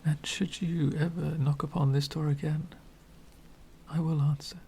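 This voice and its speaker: A man playing a lonely, courteous, centuries-old vampire in an ASMR roleplay, speaking formally and wistfully in soft, breathy whispers. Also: a little high, level tone, full character voice. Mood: gracious, wistful. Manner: formal, wistful, slow and deliberate.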